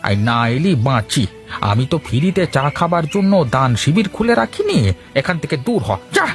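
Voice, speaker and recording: A man voicing an older village tea-stall owner in a cartoon, gruff, curt and mocking. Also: a little high, very animated, normal speed, faint music behind. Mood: irritated. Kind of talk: Bengali cartoon dialogue dubbing.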